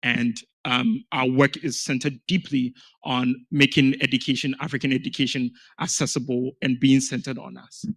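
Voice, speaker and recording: A man's voice: mid-range voice, steady tone, moderate pace, creaky, few pauses, fairly bright tone, husky. A man from Ghana speaking as a panel guest, telling his story in an earnest, emphatic way. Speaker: panel guest, founder of an education organization. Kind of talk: panel conversation.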